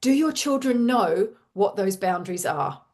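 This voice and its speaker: An Australian woman in her forties talks parents through an online workshop. Her tone is warm and reassuring, and she keeps it chatty, with the odd um and restart. Her pitch sits in an ordinary middle range. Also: lively intonation, unhurried. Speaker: parenting coach.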